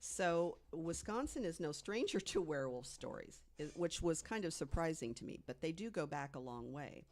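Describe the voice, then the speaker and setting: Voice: mid-range voice, animated, moderate pace, hardly any pauses. An American podcast co-host telling a researched story in a conversational way, partly from prepared notes.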